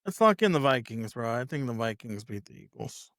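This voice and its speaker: A man's voice: medium pitch, sing-song, fairly quick, a bit bright, no echo, studio quality. A man speaking as a podcast guest in casual sports chat, often repeating himself as he talks.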